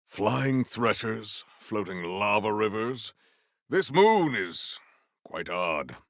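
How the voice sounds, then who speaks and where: An actor playing a proud, formal sci-fi warrior in a video game, speaking in a grandiose, deliberate and earnest way. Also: male voice, lowish voice, sing-song, fairly bright tone, no room sound, clean studio sound, heavily acted. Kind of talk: video game character voice lines.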